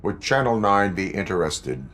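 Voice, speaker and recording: Very deep, stoic cyborg cop. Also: male voice, moderate pace. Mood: stoic, flat. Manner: deadpan, clipped formal phrasing. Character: stoic cyborg police officer, heroic, formal and restrained. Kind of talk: video game cutscene acting.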